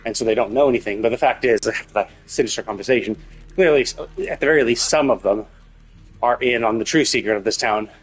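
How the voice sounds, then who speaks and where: A man doing casual live game commentary, speculating and thinking out loud, with some casual swearing. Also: mid-range voice, fast, husky, low-quality recording. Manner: casually profane. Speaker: game livestreamer.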